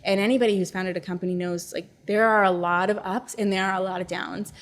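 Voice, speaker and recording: A young adult woman, a neuroscientist and startup founder, talking calmly and reflectively as an interview guest. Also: mid-range voice, fast talker. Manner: calm, soothing, reflective. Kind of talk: casual interview conversation.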